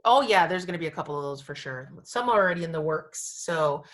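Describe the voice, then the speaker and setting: A woman's voice: sits low, lively intonation, fast talker, fairly bright tone. Emphatic woman co-hosting a podcast. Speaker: podcast co-host. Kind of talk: casual podcast chat.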